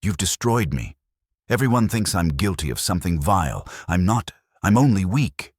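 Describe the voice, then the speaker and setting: A man's voice: deep voice, sing-song, moderate pace, husky, no echo, studio quality, pitched above the performer's own voice, heavily acted. A man voicing a weak, anxious man in a mystery story, gasping and pleading his innocence. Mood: distraught.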